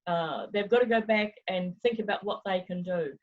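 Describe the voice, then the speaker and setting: A woman's voice: medium pitch, normal speed. Chatty New woman on a lo-fi video call. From New Zealand. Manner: lively, laughs often, digressive. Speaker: travel agency owner. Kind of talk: remote interview, chatty. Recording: dull lo-fi video call audio.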